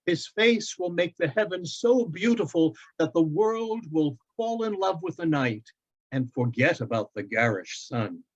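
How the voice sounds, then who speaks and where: A man reads as a gentle, wise father talking to his little boy in a storybook tale. He is unhurried, warm and reverent, heard through slightly dull audio. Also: fairly high pitch, animated, voice pushed up. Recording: dull, lo-fi online event audio.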